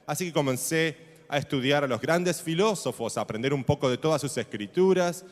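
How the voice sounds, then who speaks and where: A man's voice: a little high, a little fast. Man telling a story to a congregation in a reverberant hall. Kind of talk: testimony lecture to a congregation.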